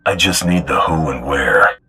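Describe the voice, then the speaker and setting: Terse bounty hunter, extremely low, raspy, hard-edged, cool, game cutscene. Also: male voice, measured pace, sharp tone, exaggerated voice. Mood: cool, businesslike. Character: tough, laconic male bounty hunter champion. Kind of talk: video game cutscene acting.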